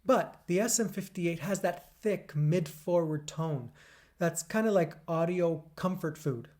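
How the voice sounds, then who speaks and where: A man with a smooth radio-DJ delivery, narrating a scripted audio gear review in an upbeat, punchy way with wry quips, recorded on a condenser mic indoors. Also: on the high side, a little slow, darkish tone. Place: indoors beside a PA speaker. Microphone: USB condenser mic.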